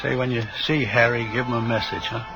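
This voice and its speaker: Man playing a gravelly, pious down-and-out fellow. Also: medium pitch, flat delivery, a little fast, natural voice, rough recording. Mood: earnest, hopeful. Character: pious, earnest down-and-out man grateful for small favors. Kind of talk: old-time radio drama acting. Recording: vintage radio recording with music.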